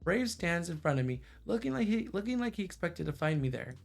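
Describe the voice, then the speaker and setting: A man's voice: sits high, big pitch swings, a little fast, creaky. A man livestreaming as he reads a visual novel aloud in a narrating style. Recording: music behind the voice. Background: background music.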